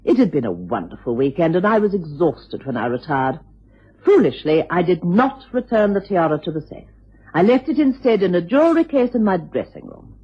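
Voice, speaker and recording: An actress playing a dignified, dramatic aristocratic widow with an upper-class English accent in a radio drama. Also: female voice, on the low side, big pitch swings, fairly quick. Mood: composed, regretful. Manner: genteel, dramatic. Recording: muffled, husky-sounding old radio recording.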